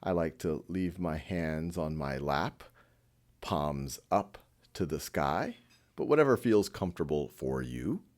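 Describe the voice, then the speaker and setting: A man leading a guided meditation in a gentle, unhurried, reassuring way. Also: sits low.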